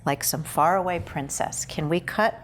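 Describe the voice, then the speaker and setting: A woman, an actor, casually discussing a script and pausing tentatively as she explains. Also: fairly low pitch, slight background noise. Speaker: actor at a table read.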